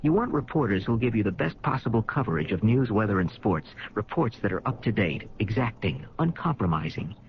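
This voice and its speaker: A man's voice: lowish voice, sing-song, brisk, hardly any pauses. An American man reading a scripted promotional announcement as a commercial announcer. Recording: dull, hissy old radio broadcast.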